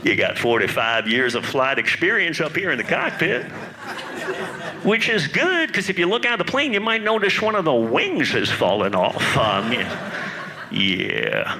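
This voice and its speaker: A man voicing a calm, folksy airline pilot with a slow Midwestern drawl, recorded on a stage mic with audience noise. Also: fairly high pitch, crowd noise.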